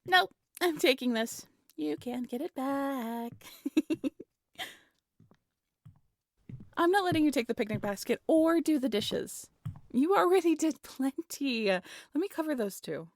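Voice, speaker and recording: A woman acting a cheerful, affectionate young woman in an intimate roleplay audio drama, with a playful, teasing, expressive delivery. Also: sits high, measured pace.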